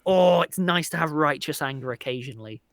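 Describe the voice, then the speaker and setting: A man's voice: fairly high pitch, expressive, a little fast, no room sound. A man chatting casually as an interview guest, earnest and wryly self-deprecating. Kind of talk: casual chat.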